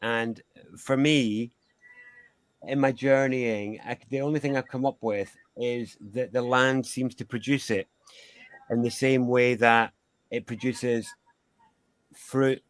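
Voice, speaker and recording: A Scottish man, a sound-healing guest, talking in a reflective, musing way with slow pauses mid-thought. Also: mid-range voice, steady tone, no echo. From Scotland. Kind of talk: casual podcast conversation.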